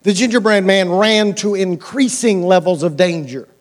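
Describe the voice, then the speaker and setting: An American male pastor delivers a sermon in a notably high-pitched voice. His manner is conversational and colloquial. He leans on repeated phrases and rhetorical questions to press each point. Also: moderate pace. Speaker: pastor preaching to a congregation.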